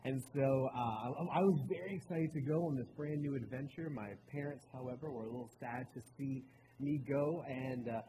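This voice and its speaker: An earnest man in his late twenties tells personal stories in a relaxed, conversational way, like a speaker at a church service. His voice rings out with a long reverb in a large hall. Steady room noise hums clearly behind him. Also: mid-range voice, normal speed. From Baltimore, Maryland. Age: late 20s. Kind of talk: personal talk in a sermon.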